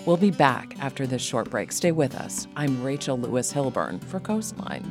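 A woman's voice: very deep voice, expressive, moderate pace, over loud music. A woman hosting a radio interview show, moving between scripted introductions and questions for a guest.

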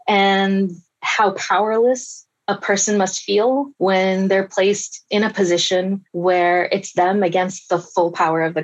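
A woman in her thirties answering interview questions in an earnest, reflective and measured way. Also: mid-range voice. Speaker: forensic science researcher, guest.

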